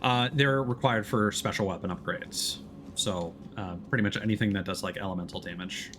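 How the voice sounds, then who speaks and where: A man's voice: fairly low pitch, moderate pace, noisy background. A man giving casual, easygoing live commentary as he plays a video game, thinking aloud. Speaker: game streamer.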